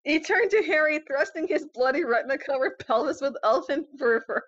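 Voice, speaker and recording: A woman's voice: high-pitched, brisk, a bit bright, no room sound. A woman co-hosting a casual read-aloud of fan fiction, somewhat hesitant, on a dull lo-fi recording. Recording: dull, lo-fi, dry close sound.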